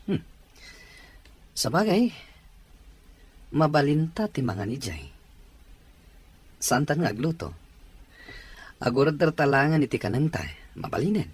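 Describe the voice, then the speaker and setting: Man as a raspy, bantering husband out with friends. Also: on the high side, sing-song, measured pace, pauses often, heavily acted. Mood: musing. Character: married man, easygoing drinker out with friends. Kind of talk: amateur audio drama acting. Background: faint music.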